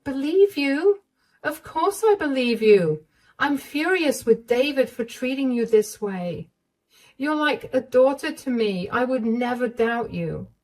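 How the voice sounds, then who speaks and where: A woman voicing a caring, protective older mother-in-law, warm and reassuring but fiercely indignant on her behalf. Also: sits high, animated, a little slow, pitched above the performer's own voice, poor audio.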